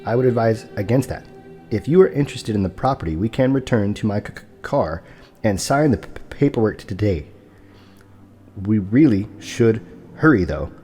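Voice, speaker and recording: A man's voice: lowish voice, normal speed, natural voice. Man acting a stuttering broker in long reverb. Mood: calm insistence. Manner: calm, polite, formal, informing without pleading. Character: unflappable, stuttering male property broker, punctual and insistent. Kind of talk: audio drama acting. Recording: long reverb, music underneath.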